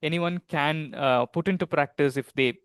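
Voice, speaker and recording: A man's voice: on the high side, moderate pace, clear, resonant tone, no room sound, studio quality. A man interviewing a former trainer, hesitant, with repeated words and restarts. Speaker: interviewer, English teacher. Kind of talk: interview questions.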